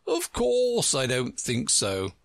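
A man voicing a pompous, testy egg-man from a storybook, with a haughty, growling, drawn-out delivery. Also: mid-range voice, wildly swooping pitch, vocal fry, no echo, clean studio sound, full character voice. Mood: grand, dismissive. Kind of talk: storybook character reading.

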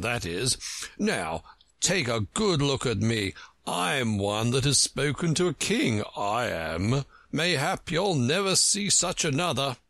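A man voicing a pompous, testy egg-man from a storybook, with a haughty, growling, drawn-out delivery. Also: mid-range voice, fairly bright tone, dry recording, pitched above the performer's own voice, full character voice. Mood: proud, condescending. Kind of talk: storybook character reading.

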